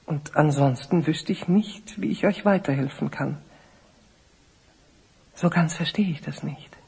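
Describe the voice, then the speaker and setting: An actor playing a dignified, courteous older village host with a breathy, very husky voice and formal speech in a fantasy audio play. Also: male voice, a little high, a little mellow, heavily acted. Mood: grave. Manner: formal, measured, hospitable.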